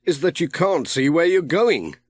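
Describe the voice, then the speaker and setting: A man's voice: a little high, big pitch swings, normal speed, pitched above the performer's own voice. A man voicing a mild, good-natured, worried postman with a plaintive manner in a children's audiobook. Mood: rueful. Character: Pat, mild, worried postman, wary of water.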